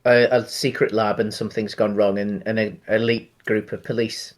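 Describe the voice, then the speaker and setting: A man's voice: lowish voice, flat delivery, normal speed. A man co-hosting a casual film discussion, talking in an explanatory, hedging way. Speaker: podcast co-host.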